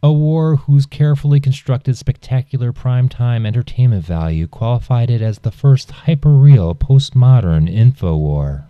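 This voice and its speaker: A man reading scripted nonfiction as an audiobook narrator, in a measured and serious manner. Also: medium pitch, dry recording, highs cut off.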